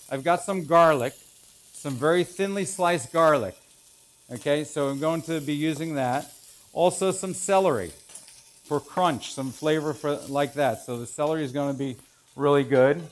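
A man's voice: a little high, moderate pace, slight background noise. Chef explaining as he cooks. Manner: relaxed, explanatory, thinking aloud while working. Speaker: chef instructor. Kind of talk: cooking demonstration. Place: large professional teaching kitchen, indoors. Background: food sizzling in a pan.

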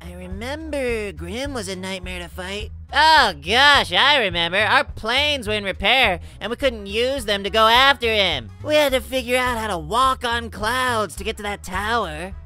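A performer voicing a young cartoon cup boy, animated and boyish, in a comic dub. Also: mid-range voice, moderate pace, few pauses, soft background music. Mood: cheerful. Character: two young cartoon cup brothers, one cocky, one cheerful. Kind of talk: comic dub character acting.

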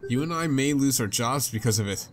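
A man's voice: mid-range voice, lively intonation, fairly quick, lightly acted. Man reading as a worried young woman journalist. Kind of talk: reading visual novel dialogue aloud. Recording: game music behind.

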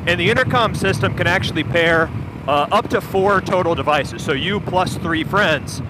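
Guy on a bike intercom going through specs, wind noise, bit high. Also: normal speed. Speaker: video producer reviewing gear. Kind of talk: explanatory casual chat. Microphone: helmet intercom.

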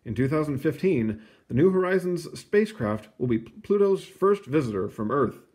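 A man reading a children's book aloud in an expressive, explanatory way, with casual asides. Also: fairly high pitch, normal speed. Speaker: picture book reader.

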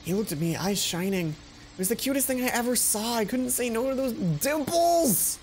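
A man's voice: very high-pitched, animated, normal speed, a little mellow, slight background noise, rough recording. A young man streaming a visual novel, reading the story aloud on a headset mic with playful, stumbling asides. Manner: playful, self-mocking, stumbles over words. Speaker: let's play streamer. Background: game music.

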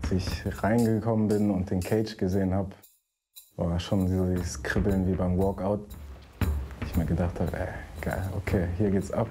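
A deep-voiced man with a flat delivery, speaking casually as a fighter. Loud music sits under his voice. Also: moderate pace.

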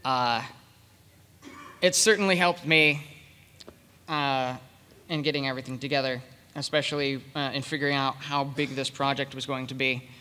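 A man speaking unscripted as a panelist, with a hesitant, halting delivery. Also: medium pitch, steady tone, clean tone. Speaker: panelist, project leader. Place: convention panel room with audience. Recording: reverberant hall, bright sound.

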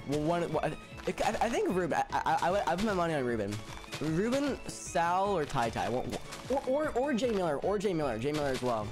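A young man live-streaming a game and chatting with viewers casually, stuttering often and repeating words. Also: a little high. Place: home room, indoors. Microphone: headset. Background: music playing.

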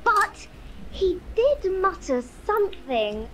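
An actress playing a tiny, eager, chirpy fantasy receptionist in a bubbly, expressive way. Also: female voice, very high-pitched, slow pace, slight background noise, full character voice, poor audio.

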